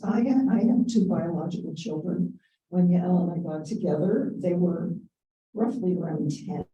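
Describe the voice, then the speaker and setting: Raspy-voiced woman on a panel. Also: on the low side, mellow, muffled recording. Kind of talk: personal recollection at a panel. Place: event room. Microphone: handheld microphone. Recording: roomy, distant event recording.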